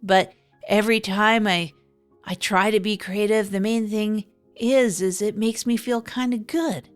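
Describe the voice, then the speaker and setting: A woman's voice: mid-range voice, fairly even intonation, unhurried, faint music behind, natural voice. A woman playing a hapless, earnest evening radio host in an improvised comedy audio drama, rambling and correcting herself as she goes. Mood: earnest, reflective.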